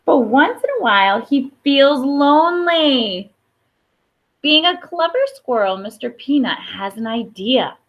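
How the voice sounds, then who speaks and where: A woman reads a children's story aloud in a fairly high voice that swings expressively up and down. She takes her time and pauses for emphasis. The recording sounds a little dull and lo-fi. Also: expressive. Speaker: storybook reader. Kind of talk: picture-book read-aloud with brief asides.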